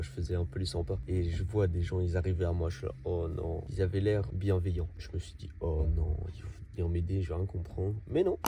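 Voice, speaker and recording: A man's voice: low voice, background noise. A young man vlogging in a casual, rambling way, stuffy with a cold and a morning voice. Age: young adult. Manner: rambling, chatty, filler-heavy. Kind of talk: casual vlog narration.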